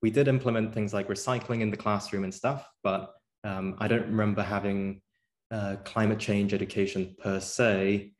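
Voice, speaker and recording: Young man answering easily, low voice. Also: moderate pace. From South Korea. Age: young adult. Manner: relaxed, thoughtful, with frequent fillers and restarts. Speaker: guest. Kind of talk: casual interview answers.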